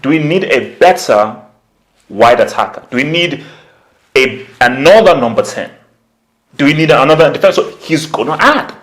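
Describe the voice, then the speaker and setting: A man's voice: on the high side, animated, moderate pace, a bit bright. A man giving casual, opinionated football commentary as a vlog host, slangy and conversational, repeating points for emphasis. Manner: slangy, conversational, emphatic repetition, acts out imagined quotes. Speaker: football YouTuber, channel host.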